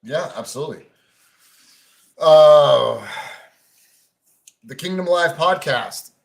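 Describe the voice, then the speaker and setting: A man with a fairly high voice talks sports with friends. He is emphatic and teasing, and he pushes his points hard. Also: lively intonation, takes it slowly, vocal fry. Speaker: podcast co-host. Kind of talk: casual sports chat.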